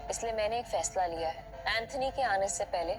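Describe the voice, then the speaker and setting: Actress as female casino owner, commanding, protective, audio drama delivery, clear background music. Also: mid-range voice, a little fast, close to an everyday voice, poor audio. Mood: grave, resolute. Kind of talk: Hindi audio drama acting. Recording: music behind the voice.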